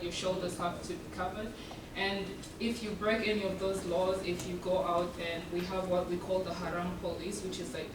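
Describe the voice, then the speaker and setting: A young woman who grew up in Uganda and was born in South Sudan, giving an earnest student presentation with frequent ums. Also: on the low side. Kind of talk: presentation talk. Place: event room. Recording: echoey room from far off, with background noise.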